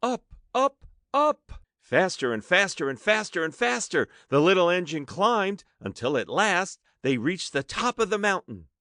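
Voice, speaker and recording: A man's voice: high-pitched, sing-song, a little slow, bright, forceful tone, no room sound, studio quality. A man narrating a children's story expressively, with dramatic emphasis as an audiobook narrator.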